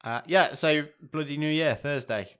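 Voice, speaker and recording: A man's voice: on the high side, normal speed, fairly bright tone, clean tone. A man co-hosting a casual podcast, playful and jokey, laughing easily as he banters. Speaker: podcast co-host. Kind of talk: casual chat.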